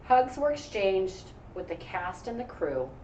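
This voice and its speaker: A woman's voice: mid-range voice, big pitch swings, measured pace, darkish tone, highs cut off. An American woman teacher reading a picture book aloud, adding encouraging, reflective asides for young listeners. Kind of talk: read-aloud with casual discussion. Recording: roomy, distant mic, faint background noise.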